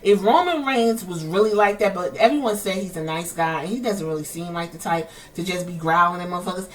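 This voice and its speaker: A woman's voice: lowish voice, fairly quick. Woman, New York accent, podcast host, emphatic, profane, slightly distant from the mic. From New York City. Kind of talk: heated commentary.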